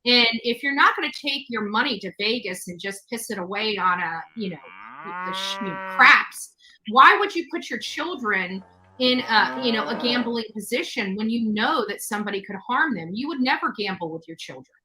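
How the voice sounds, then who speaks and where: A woman's voice: a little high, expressive, normal speed, a crowd in the background, poor audio. A woman hosting a talk show, speaking conversationally in an emphatic, advising way to a guest and audience.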